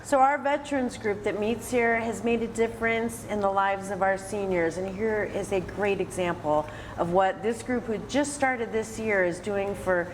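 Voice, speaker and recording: A woman's voice: mid-range voice, moderate pace, hardly any pauses, rough recording. American woman interviewer with background noise. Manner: encouraging, restates and sums up the guest's answers. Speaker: interviewer and host. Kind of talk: interview at a senior center, prompting and recapping.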